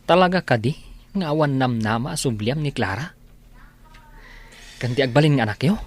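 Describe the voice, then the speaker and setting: An actor playing a concerned, soft-hearted village friend in a radio drama, earnest and exclamatory. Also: male voice, medium pitch, expressive, moderate pace, close to an everyday voice. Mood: concerned, pleading. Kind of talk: Philippine radio drama acting.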